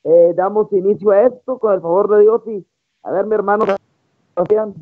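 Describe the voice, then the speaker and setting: A man gives an informal theological talk as a preacher, halting and repeating phrases, over a tinny live stream. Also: sits high, fairly even intonation, no room sound. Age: 20s. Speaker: preacher hosting a live church broadcast.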